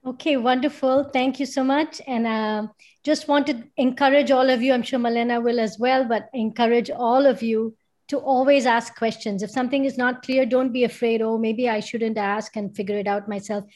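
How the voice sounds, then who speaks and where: Woman course organizer on a video call, slightly high, encouraging. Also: fairly even intonation, normal speed. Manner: warm, encouraging. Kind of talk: welcoming remarks on a video call.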